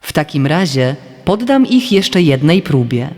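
A woman's voice: low-pitched, big pitch swings, unhurried, a little mellow, in a large hall, soft background music, heavily acted. A woman reading the part of a proud, cunning lake queen in a formal, commanding manner. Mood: challenging. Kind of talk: fairy-tale storytelling.

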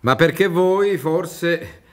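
An actor in a radio drama playing a kindly, concerned village doctor, earnest and questioning. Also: male voice, on the high side, very slow, fairly bright tone, slight background noise. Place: theatre stage, radio drama recording.

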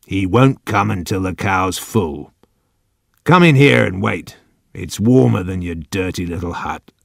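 Guy doing a quietly menacing old man for a storybook, talks real slow and deliberate, lowish voice. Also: expressive, vocal fry, no echo, clean studio sound, exaggerated voice. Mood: slow, commanding.

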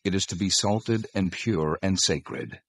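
Deep, husky, solemn God. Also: male voice, no room sound. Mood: solemn, commanding. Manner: solemn, deliberate, authoritative. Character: God, solemn male deity giving commands. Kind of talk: dramatized scripture reading.

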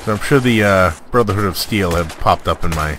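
A man's voice: deep voice, fairly quick, background noise. A man hosting a gameplay video with casual, laid-back, rambling commentary. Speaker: video host, mod reviewer.

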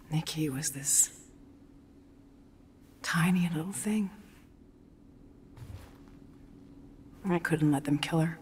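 An actress playing the solemn, tender ghost of a dead mother in a video game, with a hushed, earnest delivery. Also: deep voice, lightly acted. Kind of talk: dramatic video game cutscene acting. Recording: long reverb, noisy ambience.

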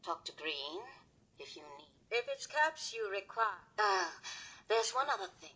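A woman playing a seductive, haughty supervisor robot in a video game, with an affected socialite drawl and breathy voice. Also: mid-range voice, lively intonation, measured pace, sharp tone, full character voice. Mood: haughty, coy.

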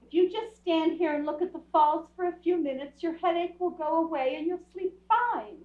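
High-pitched chattering wife played by a woman. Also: normal speed. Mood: chatty. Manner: talkative, nagging. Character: chattering, unbothered wife of a crabby husband. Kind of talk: old-time radio comedy acting. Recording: roomy stage recording, distant muffled mic.

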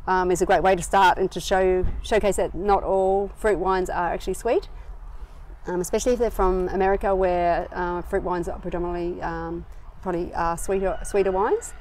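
A woman in her forties with an Australian accent, a winery owner chatting casually in an interview, relaxed and full of ums. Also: fairly low pitch, normal speed, slight background noise. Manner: relaxed, many ums, easy laughs. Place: outdoor garden verandah.

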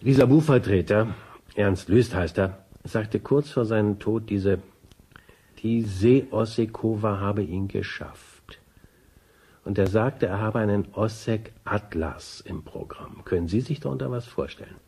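A man's voice: on the low side, measured pace, mellow, husky. An actor in a German radio drama playing a brusque, evasive policeman with a curt, dryly sarcastic way of talking. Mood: matter-of-fact. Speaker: police officer.

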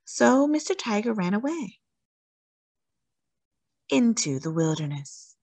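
Woman, children's read-aloud, lively swooping pitch, unhurried pace, theatrical emphasis. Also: no room sound. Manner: theatrical, drawn-out emphasis on words. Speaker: children's story reader.

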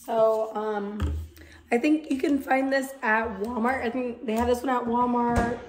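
Hoarse, congested young woman vlogging. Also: fairly high pitch, fairly even intonation, measured pace, a little hiss or hum. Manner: chatty, rambling with many fillers. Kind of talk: casual vlog chat. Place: home kitchen.

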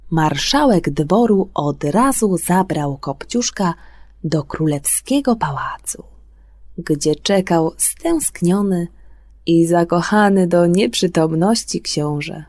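A woman narrating a scripted fairy tale for children on the radio, expressive, often dropping into breathy near-whispers. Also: lowish voice, dry recording. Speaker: storyteller on a children's radio show.

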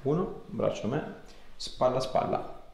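A man's voice: mid-range voice, expressive, a little slow, gravelly, darkish tone. A man in his thirties, a chiropractor, talking a patient through a hands-on session in an informal, reassuring way. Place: small treatment room indoors. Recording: very echoey small room, faint noise.

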